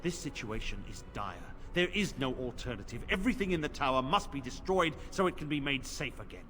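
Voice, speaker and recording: An actor playing a stern middle-aged knight commander in a video game, grave and commanding. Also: male voice, mid-range voice, lively intonation, brisk, a bit bright, slight background noise, lightly acted. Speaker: game character.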